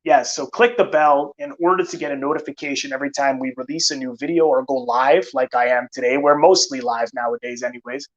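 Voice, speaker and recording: A man in the middle range of pitch talks loosely to his live viewers, stumbling through fillers and restarts. He sounds worn out, his voice getting tired. The sound is a little dull and lo-fi. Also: steady tone, brisk, few pauses. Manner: rambling, many "uh" fillers and restarts. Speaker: film channel host. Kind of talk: casual live-stream chat.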